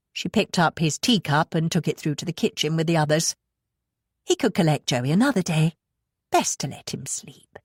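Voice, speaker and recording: A woman reading an audiobook as dramatic fiction narration, with expressive, measured storytelling. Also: deep voice, no room sound, clean studio sound.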